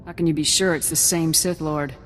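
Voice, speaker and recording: A woman's voice: deep voice, steady tone, a little fast, slight background noise, natural voice. An actress playing a no-nonsense female special forces major in a video game, curt and authoritative. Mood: firm, businesslike. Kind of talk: video game dramatic dialogue. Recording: loud music underneath.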